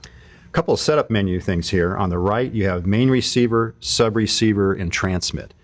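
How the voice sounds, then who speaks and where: Low-voiced man giving a casual demo. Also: moderate pace. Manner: informal, explanatory, thinking aloud while operating. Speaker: product demonstrator. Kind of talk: casual hands-on product demo.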